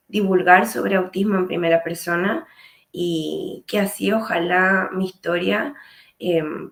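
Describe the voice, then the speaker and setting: A woman in her late thirties with a Chilean accent, telling her personal story in a measured, reflective way with frequent hesitations. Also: fairly low pitch, vocal fry, a bit bright. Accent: Chilean Spanish. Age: late 30s. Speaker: speaker sharing her story. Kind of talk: personal testimony talk.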